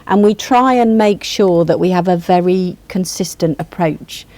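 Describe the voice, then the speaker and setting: A woman on the university's senior team answering student questions on a panel, measured and reassuring, often restarting her sentences. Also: fairly low pitch, dark tone, no room sound.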